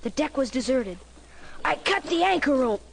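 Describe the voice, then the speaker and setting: A man's voice: extremely high pitch, lively intonation, normal speed, creaky, exaggerated voice. A boy actor playing an earnest, brave young adventurer who narrates his own tale dramatically. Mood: tense, dramatic. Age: child or young teen. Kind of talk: dramatic first-person story narration. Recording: orchestral music behind.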